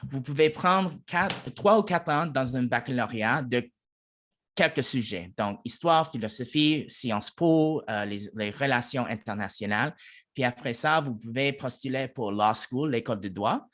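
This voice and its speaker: A man's voice: medium pitch, normal speed, a bit bright. Hesitant English-speaking Canadian man. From Toronto, Canada. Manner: hesitant, many fillers and restarts in his second language. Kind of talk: informational presentation.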